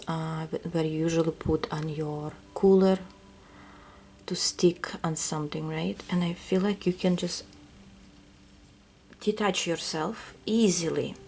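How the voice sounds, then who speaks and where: Russian-accented woman, low gravelly voice, soft hesitant tarot-reading delivery. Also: slight background noise. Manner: soft-spoken, hesitant, often drops to a whisper. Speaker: tarot reader. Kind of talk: intuitive reading to viewers.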